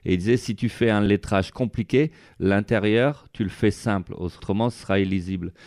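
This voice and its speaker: A man's voice: on the low side, brisk, darkish tone. A man being interviewed as a street artist, talking casually and rambling with many fillers. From France.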